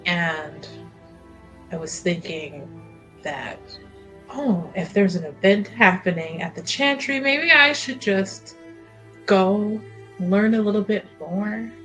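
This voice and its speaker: A woman playing a flattering, wheedling noblewoman niece in a tabletop game, drawing out her words theatrically. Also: medium pitch, low-quality recording. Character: flattering, coy noblewoman niece feigning piety.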